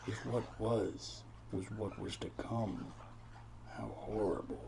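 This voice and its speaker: Deep-voiced hard-boiled noir detective, acted by a man. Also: fairly even intonation, takes it slowly, gravelly, noisy background. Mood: anguished, musing. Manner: brooding, florid, repetitive wordplay. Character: world-weary, rambling hard-boiled male private detective. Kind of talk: noir audio drama narration.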